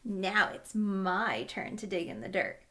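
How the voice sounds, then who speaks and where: A woman voicing a tiny, curious, naive puppy in a storybook, with drawn-out, wondering delivery. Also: medium pitch, animated, sharp tone, slight background noise. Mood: proud, eager.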